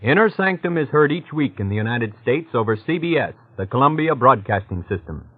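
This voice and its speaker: A man's voice: mid-range voice, lively intonation, no echo. Formal male announcer, tinny old radio. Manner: formal, measured. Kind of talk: 1940s radio announcing, scripted. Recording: thin, dry old radio recording, organ.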